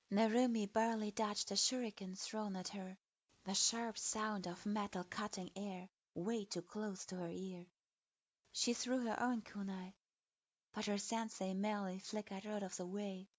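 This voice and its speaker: A woman narrates a dark story in a steady, even, scripted way, with a voice of ordinary pitch for a woman. The recording is dull and lo-fi, and it sounds completely dry, with no room around the voice. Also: no room sound.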